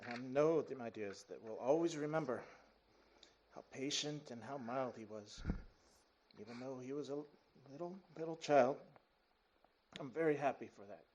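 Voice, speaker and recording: A man's voice: mid-range voice, moderate pace, slight background noise, close to an everyday voice, low-quality recording. An actor playing a humble, kindly father in grief, earnest and tender, in a radio play. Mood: tender sorrow. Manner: earnest, gently emotional. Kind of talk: 1930s-style radio play acting.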